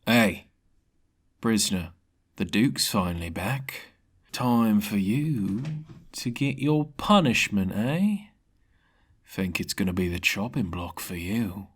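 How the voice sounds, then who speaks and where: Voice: low voice, expressive, slow, husky, full character voice. A narrator voicing a blunt, mocking dungeon guard in a fantasy story. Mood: taunting. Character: gruff, taunting male dungeon guard.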